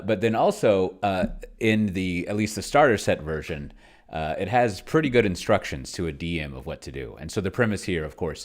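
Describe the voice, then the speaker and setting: A man with a strikingly deep voice talks through a casual livestream demonstration. His delivery is unscripted and offhand, wandering off mid-thought, correcting himself and shrugging off his own slips with self-deprecation. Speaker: livestreamer demonstrating solo tabletop roleplaying with an AI.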